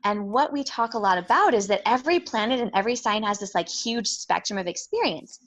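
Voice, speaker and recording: A woman astrologer talking reflectively in a relaxed interview, explaining her practice with frequent "um" and "like". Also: on the high side, a little fast. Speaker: astrologer guest. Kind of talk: casual conversational interview.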